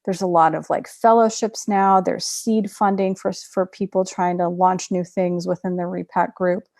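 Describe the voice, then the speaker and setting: A woman's voice: fairly low pitch, steady tone, normal speed, gravelly, darkish tone, no room sound, studio quality. A woman in her thirties, raised in Russia, talking chattily with many fillers and restarts as a webinar guest over a video call. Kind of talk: webinar conversation.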